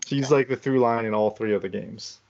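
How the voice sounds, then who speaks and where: A man on a podcast panel sharing news casually in a halting way, repeating short phrases, on dull lo-fi audio. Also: on the low side, darkish tone.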